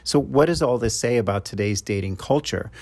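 A man's voice: mid-range voice, animated, fairly quick, darkish tone. A middle-aged man from New York with a New York accent, a love coach talking to his podcast listeners in a loose advice monologue, full of "uh" fillers and restarts. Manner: conversational, frequent "uh" fillers and restarts.

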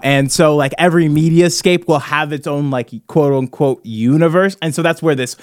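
A man with a slightly high voice talks like a podcast host in full brainstorm. He rambles excitedly in casual speech, and the sound is close and dry. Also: moderate pace, dry recording.

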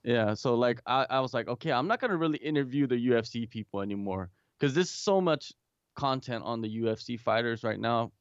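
A man's voice: mid-range voice, expressive, fairly quick, clean tone, no echo, muffled recording. A man talking from home in a casual remote interview, rambling with many restarts and fillers. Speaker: guest, MMA interviewer and English teacher.

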